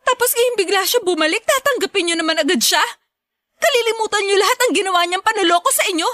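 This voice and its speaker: High-voiced, resentful adult daughter. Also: female voice, animated, fast, sharp tone, no room sound, clean studio sound, heavily acted. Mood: bitter, angry. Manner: indignant, confrontational. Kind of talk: Filipino radio drama acting.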